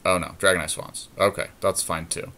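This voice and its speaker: A man co-hosting a podcast, casually commentating on a game and thinking out loud as he reasons through each move. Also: low-pitched, level tone, a little fast. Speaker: podcast co-host.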